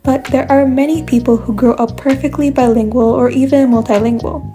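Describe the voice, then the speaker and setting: A young woman narrates a scripted explainer in a slightly high, mellow voice. Her delivery stays flat and measured, keeping one steady line through each point. Faint music runs quietly beneath her. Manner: measured, even explanatory reading. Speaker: video essay host.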